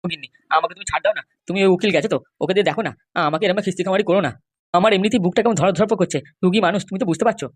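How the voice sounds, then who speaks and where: A woman's voice: on the low side, racing, husky, dry recording. A woman voicing a meek, henpecked, ailing husband in a comedy cartoon, pleading and whining with his wife. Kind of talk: amateur comedy cartoon dialogue.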